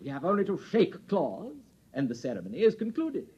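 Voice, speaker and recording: A stuffy, murderously polite alien elder from a vintage radio comedy. The voice is high and husky and swings widely in pitch. The old recording sounds dull. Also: male voice, wildly swooping pitch, a little fast, exaggerated voice. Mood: ceremonial. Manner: stuffy British gentleman phrasing, pompous. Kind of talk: 1950s radio comedy acting.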